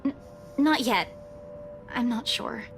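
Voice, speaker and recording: A weary, defensive young woman with a fairly high voice and a cutting tongue. She snaps back with curt retorts in a horror game, with faint music under her lines. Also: slow. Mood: worried.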